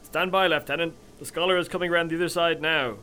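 An actor playing a blithely reckless, scheming Irish ship's captain in a comedy audio drama. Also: male voice, on the high side, steady tone, fast, bright, forceful tone, faint music behind. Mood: bemused.

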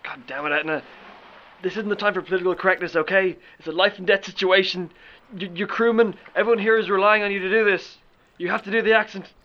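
An actor playing a blithely reckless, scheming Irish ship's captain in a comedy audio drama. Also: male voice, high voice, steady tone, fast talker, muffled recording, lightly acted.

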